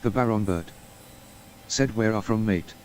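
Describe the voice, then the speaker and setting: A man's voice: fairly low pitch, measured pace, darkish tone. An Australian man streaming a game, chatting casually and calling everyone mate while he reads viewer messages aloud. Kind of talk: casual gameplay stream chat.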